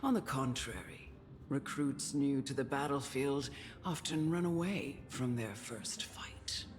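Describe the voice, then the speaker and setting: Wise old death guardian in a game, really slow, swoopy solemn voice, music behind. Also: medium pitch. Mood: firm, grave. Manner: measured, solemn, storytelling. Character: grave, wise, ancient guardian of death counseling a child. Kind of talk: solemn video game voice acting.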